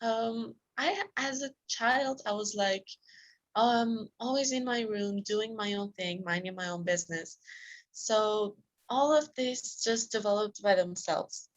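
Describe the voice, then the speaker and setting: A woman from Iran, a self-discipline coach, answering interview questions candidly with soft laughs. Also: medium pitch, a little slow, low-quality recording.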